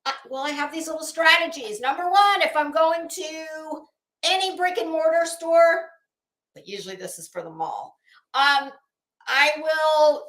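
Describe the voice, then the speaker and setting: High-voiced, echoey middle-aged woman chatting. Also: measured pace. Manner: chatty, rambling, expressive self-deprecating asides. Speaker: livestream host. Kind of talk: casual livestream chat with viewers. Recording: roomy, echoey indoor sound.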